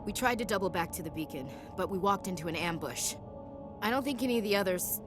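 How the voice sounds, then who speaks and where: A woman's voice: mid-range voice, lively intonation, fast talker, lightly acted. Lady playing tough space marine soldier in a game, plainspoken, dutiful, music in the background. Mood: shaken. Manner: plainspoken, military. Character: tough, dutiful female marine gunnery chief. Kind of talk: video game cutscene acting.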